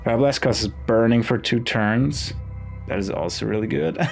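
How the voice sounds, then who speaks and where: A man's voice: mid-range voice, normal speed, vocal fry. A man doing casual game commentary, thinking aloud in a musing, hesitant way as he reads game text. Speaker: gaming video host. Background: game music.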